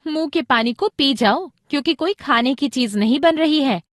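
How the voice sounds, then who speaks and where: Practical, caring mother, educational drama, very animated instructive delivery, dry close recording. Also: high voice, normal speed, no room sound. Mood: brisk, teasing. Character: practical, caring Indian mother explaining parenting to her husband. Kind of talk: scripted educational audio drama dialogue.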